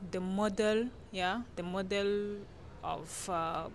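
A woman scholar with a Francophone Central African accent answers interview questions at an average pitch. She explains things haltingly, repeating and rephrasing as she goes. There is a light layer of noise behind her. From Chad-born, grew up in northern Cameroon. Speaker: guest scholar being interviewed. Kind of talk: explanatory interview answers in non-native English.

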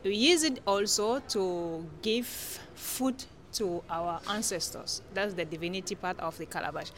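Woman, Francophone Central African accent, mid-range pitch, halting explanatory delivery, guest scholar, slight background noise. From Chad-born, grew up in northern Cameroon. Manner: halting, repeats and rephrases. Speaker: guest scholar being interviewed. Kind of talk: explanatory interview answers in non-native English.

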